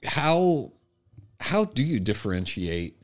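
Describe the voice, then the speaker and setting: A man co-hosting a casual, reflective discussion, feeling his way through ideas with halting, repeated phrases. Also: medium pitch, big pitch swings. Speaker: podcast co-host.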